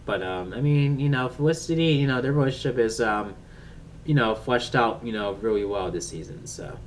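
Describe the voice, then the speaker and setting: A man giving a casual, unscripted review as he chats, halting and rambling as he searches for words. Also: medium pitch, animated. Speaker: TV episode reviewer. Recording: distant mic with faint background noise.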